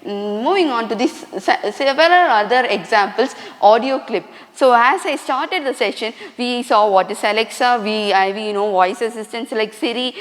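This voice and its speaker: Halting woman lecturer in a reverberant room. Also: a little high. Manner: halting, many restarts and fillers. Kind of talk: classroom lecture.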